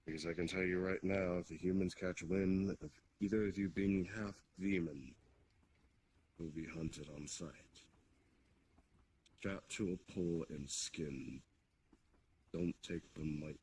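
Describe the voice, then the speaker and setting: Low male voice, commanding demon king roleplay, deliberate formal delivery, noisy mic. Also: fairly even intonation, a little mellow. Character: commanding, hospitable demon king, grave and menacing. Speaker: roleplayer leading an improvised scene. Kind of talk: in-character improvised roleplay. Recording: noticeable background noise on mic.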